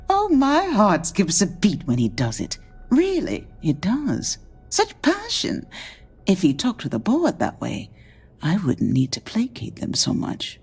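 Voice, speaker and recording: A woman's voice: medium pitch, huge pitch swings, slow, a little mellow, husky, soft background music, full character voice. An actress playing a charming, teasing, cunning female executive in a video game, with a gushing, theatrical manner.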